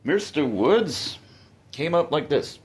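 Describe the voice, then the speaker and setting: A man's voice: fairly high pitch, big pitch swings, slow, husky. A man casually telling a personal story, hesitant, with fillers and restarts.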